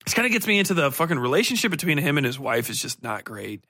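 A man's voice: fairly high pitch, very animated, quick pace, pushed and bright, dry recording, clean studio sound. A man hosting a book podcast, rambling through a casual review in blunt, irreverent, profanity-heavy slang. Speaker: podcast host reviewing books.

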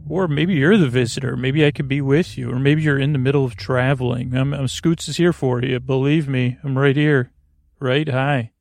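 Creaky-voiced man rambling through a podcast intro. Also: medium pitch, steady tone, darkish tone, no room sound. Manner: halting, tangent-prone, many fillers and restarts; playful, muses on words. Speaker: sleep podcast host.

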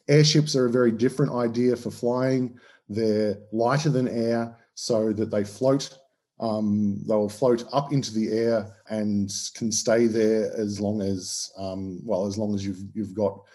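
A man's voice: on the low side, mellow. An Australian man, a historian, explaining things in an interview with many ums and restarts. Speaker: historian guest.

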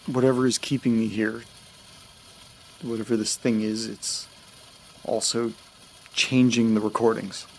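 Nervous stammering young dude, low husky gravel, kinda quiet. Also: male voice, level tone, a little slow, long pauses, a little hiss or hum. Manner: stammering, hesitant, hushed. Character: anxious young man haunted by memory loss. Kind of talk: audio drama acting.

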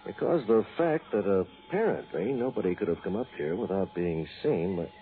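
An actor playing a plain-spoken, seasoned homicide sergeant in a 1960s radio drama, gruffly friendly and colloquial. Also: male voice, sits low, animated, moderate pace. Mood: businesslike, serious. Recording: muffled old radio broadcast, phone-like band.

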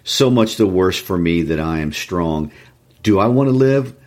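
A man's voice: deep voice, lively intonation, unhurried, darkish tone. A man reading the part of an anguished, savage young male lover in an intense, despairing, reproachful way, as in a classic novel. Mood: bitter reproach.

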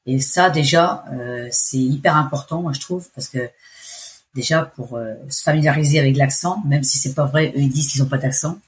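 A woman in her forties with an accent from France, telling her story in a casual interview, chatty and halting. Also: a bass voice. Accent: French from France. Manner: chatty, hesitant, restarts phrases. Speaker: expat interviewee.

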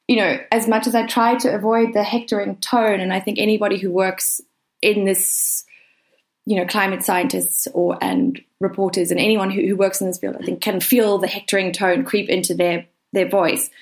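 A woman's voice: mid-range voice, fairly even intonation, moderate pace. A woman with a South African accent talking earnestly and emphatically in a conversational interview, often padding her points with hedging phrases. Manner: earnest, emphatic, hedging with frequent "you know" and "kind of". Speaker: interview guest, writer. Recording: roomy, echoey sound.

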